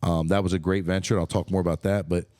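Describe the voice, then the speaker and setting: A middle-aged man with a very low voice hosts a podcast, talking in an enthusiastic, rambling way and dropping the odd casual swear. The sound is dry and close, as in a small quiet room. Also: level tone, fast talker, no echo. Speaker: podcast host. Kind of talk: casual interview chat.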